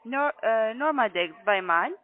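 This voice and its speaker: A call centre agent heard over a narrow, tinny phone line. The voice is mid-pitched with a foreign accent, and the phrasing is halting and non-native, as in a sales call. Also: animated. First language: not German.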